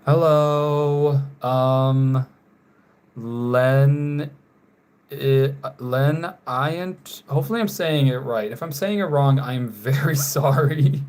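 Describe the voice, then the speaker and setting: Slow-talking young man, casual streamer. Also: mid-range voice, smooth. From North Carolina, USA. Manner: slow, trailing off quietly, apologetic about names. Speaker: live streamer. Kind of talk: casual live stream chat while drawing.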